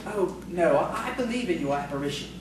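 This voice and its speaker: In a noisy, heavily reverberant recording, a woman plays a bookish, flattering male schoolteacher for audio theatre. The delivery is formal and hesitant, with lively swings in pitch, heard from a distance. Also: a little fast, close to an everyday voice. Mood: eager, informative.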